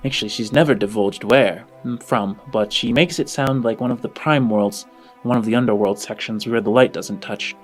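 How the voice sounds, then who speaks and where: A man voicing a chatty, deferential orphanage headmistress in a tabletop game, explaining things in a halting way. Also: lowish voice, fairly even intonation, faint music behind, close to an everyday voice. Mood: hesitant, gossipy.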